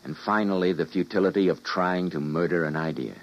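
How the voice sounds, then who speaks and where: An actor playing a weary, idealistic scientist and time traveler, narrating and speaking gravely and dramatically in an old-time radio drama. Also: male voice, low-pitched, brisk, lightly acted. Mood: grave, bitter. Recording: dull old radio recording.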